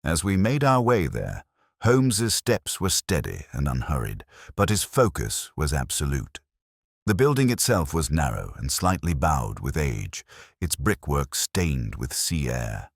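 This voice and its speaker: A male audiobook narrator with a very low voice. He tells a detective story in a measured, dramatic way, with expressive swings in pitch. The sound is close and dry. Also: dry recording.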